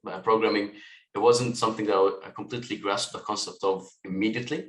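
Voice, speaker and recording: Low-voiced man in a roomy echo. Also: fast. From Lebanon, raised in Kuwait. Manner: relaxed, thoughtful, with frequent fillers. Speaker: guest, software tech lead. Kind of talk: interview conversation.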